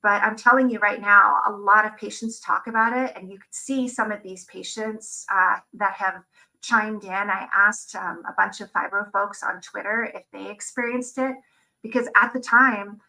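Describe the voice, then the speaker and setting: Gravelly, bright-voiced woman presenting slides. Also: medium pitch, fairly even intonation, moderate pace, low-quality recording. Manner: candid and personal. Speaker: conference presenter.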